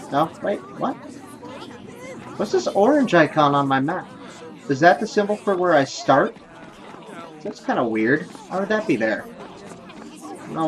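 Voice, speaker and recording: Rambling man doing casual game commentary. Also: on the high side. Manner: rambling, self-deprecating, thinking aloud. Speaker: gaming video host. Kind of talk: casual let's play commentary. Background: game music and sounds.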